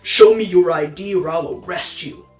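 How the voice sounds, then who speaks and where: A woman's voice: fairly low pitch, moderate pace, reverberant, faint music behind, close to an everyday voice. A woman voicing a sneering, bullying male policeman in a storybook, with a commanding manner.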